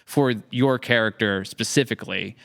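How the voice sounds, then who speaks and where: A man's voice: mid-range voice, normal speed, gravelly, fairly bright tone, no echo, clean studio sound. A man hosting a casual podcast interview, wordy and thinking aloud as he phrases things. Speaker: podcast host, series creator.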